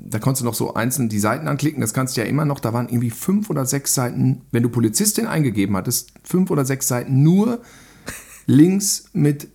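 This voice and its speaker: A man's voice: medium pitch, animated, brisk, vocal fry, darkish tone, breathy edge. A man chatting casually as a podcast guest, telling rambling anecdotes with little tag questions. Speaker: guest, film director and cameraman.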